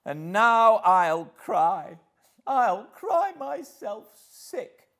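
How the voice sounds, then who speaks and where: Here is a spoiled, wilful young woman from a classic storybook, acted out by a man. The voice leaps high and shrill, with big swings in pitch. It sounds petulant, imperious and quick to flare into temper. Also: very animated, a bit bright, full character voice. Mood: furious, shrill. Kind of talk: audiobook character acting.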